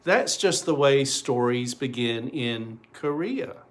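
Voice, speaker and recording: A man voicing a gentle, thoughtful village storyteller from a storybook, measured and pondering. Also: medium pitch, animated. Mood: welcoming.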